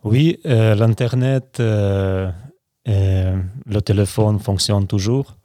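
A middle-aged man from Ukraine, not a French speaker, answering interview questions haltingly with many fillers and restarts. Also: fairly low pitch, fairly even intonation, dark tone, dry recording, clean studio sound. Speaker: guest.

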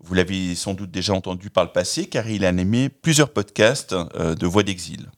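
A man hosts a podcast interview in a fairly low voice. He speaks in an articulate, measured way. He reads a prepared opening, then guides his guest with careful questions. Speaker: podcast host. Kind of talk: interviewing, partly scripted intro.

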